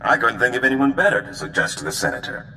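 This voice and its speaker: An actor playing a roguish, flirtatious smuggler in a video game, with a wry, theatrical delivery. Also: male voice, medium pitch, sing-song, quick pace, sharp tone, a little hiss or hum. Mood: flirty, relieved. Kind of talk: video game cutscene acting. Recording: long hall reverb, faint music behind.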